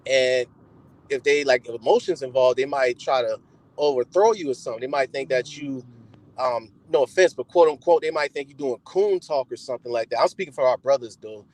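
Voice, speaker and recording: A man's voice: medium pitch, a little fast, darkish tone, rough recording. A young man in his twenties calling in, casual and agreeable in conversation. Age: 20s. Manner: agreeable, laughs along. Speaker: caller. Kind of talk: casual chat.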